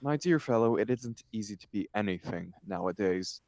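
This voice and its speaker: A man voicing a flippant, witty young gentleman dandy, airy and theatrical, in a stage comedy table read. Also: lowish voice, animated, normal speed, dry recording, lo-fi sound. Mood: breezy, witty.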